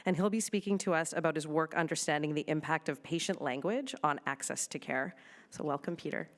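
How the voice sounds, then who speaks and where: A woman moderating a conference session, summing up talks and introducing the next speaker in a measured, orderly way. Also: deep voice.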